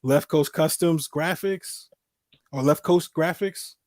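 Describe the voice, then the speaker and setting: A man's voice: fairly high pitch, slow, a little mellow, no room sound, clean studio sound. A man in his forties hosting a casual talk show, speaking emphatically and repeating himself to drive points home. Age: 40s. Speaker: host.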